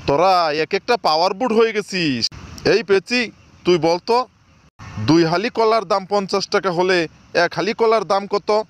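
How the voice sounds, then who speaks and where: A man's voice: high-pitched, measured pace. A man voicing a scolding, easily exasperated schoolteacher in a comedy cartoon.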